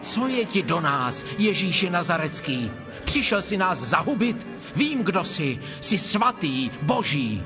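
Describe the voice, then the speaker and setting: An actor playing a menacing, tempting demonic spirit in a dramatized Bible recording, with a theatrical, insinuating manner. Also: male voice, sits high, sing-song. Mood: agitated, defiant. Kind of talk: dramatized Bible audio acting. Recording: music under the voice.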